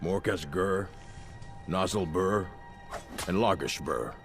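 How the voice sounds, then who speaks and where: Very deep, gravelly orc chieftain. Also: male voice, takes it slowly, music in the background. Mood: stern, proud. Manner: solemn, declarative, authoritative. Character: proud, stern orc chieftain of a stronghold. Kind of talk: fantasy video game acting.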